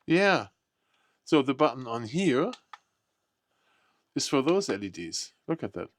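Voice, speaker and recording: A man with a medium-pitched voice talks through a product unboxing. His delivery is casual and unscripted. He thinks out loud in short, fragmented remarks, often tentative as he works things out. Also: sing-song, measured pace, slight background noise.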